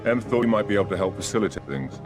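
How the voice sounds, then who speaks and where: An actor playing a cool, dry-witted British secret agent in a video game, understated and wry. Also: male voice, fairly low pitch, expressive, racing, over music, lightly acted. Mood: serious, controlled. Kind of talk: restrained cinematic video game acting.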